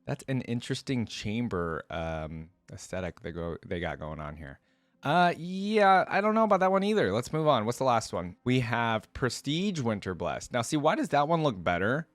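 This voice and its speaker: A man's voice: mid-range voice, sing-song, moderate pace, no room sound. A man hosting a reaction video, thinking aloud in casual, conversational commentary and sometimes reading from web pages. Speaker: reaction video host and streamer.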